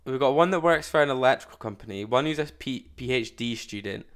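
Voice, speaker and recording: A man giving casual, slangy reaction commentary as a streamer, with blunt loud outbursts. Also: mid-range voice, animated, a little fast, clear, resonant tone.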